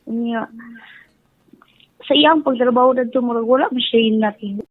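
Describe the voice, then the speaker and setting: A woman's voice: a little high, normal speed, dry recording. A Filipino woman with a Cebuano accent calling in by phone, giving her opinions casually and deferentially. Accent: Filipino, Cebuano-speaking. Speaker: phone-in caller. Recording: dry, muffled phone call over faint music.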